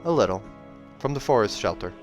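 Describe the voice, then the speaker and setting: A man voicing a guarded, quick-tempered young village woman with rustic, clipped phrasing, in a fantasy audiobook reading. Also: fairly low pitch, expressive, soft background music, lightly acted. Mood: grudging, blunt. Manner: rustic, clipped phrasing with shifting put-on vowels.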